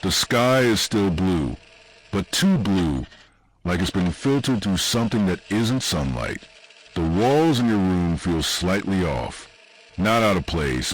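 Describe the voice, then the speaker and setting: A man reading scripted horror narration in an ominous, deliberate way, with short dramatic phrases and pauses. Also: fairly low pitch, big pitch swings, slight background noise, poor audio. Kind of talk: scripted second-person analog horror narration.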